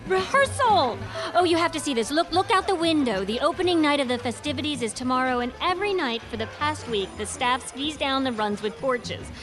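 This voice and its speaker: A woman's voice: sits high, expressive, brisk. An actress playing a vivacious, witty socialite woman in a radio mystery drama. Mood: excited. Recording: music behind the dialogue.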